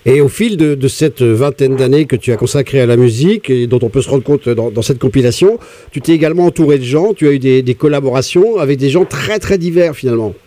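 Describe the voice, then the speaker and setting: A man's voice: mid-range voice, quick pace, dark tone. A man hosting a conversational radio interview, often repeating words as he builds his questions. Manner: hesitant, repeats words while forming questions. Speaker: radio host interviewing a musician.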